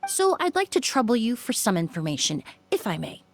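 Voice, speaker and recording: An actress playing a polite, measured young female perfumer in a video game. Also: a little high, big pitch swings, a little hiss or hum. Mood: polite, serious.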